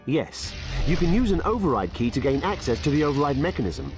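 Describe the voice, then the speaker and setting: A very animated game scientist. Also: male voice, a little high, a little fast, over music. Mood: grateful, helpful. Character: polite, fussy male laboratory scientist, adult. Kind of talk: video game cutscene acting.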